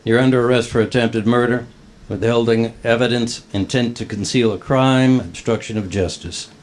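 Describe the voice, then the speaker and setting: A man's voice: medium pitch, steady tone, normal speed, darkish tone, close to an everyday voice. A man playing a formal, procedural police officer reciting an arrest in a screenplay table read. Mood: official.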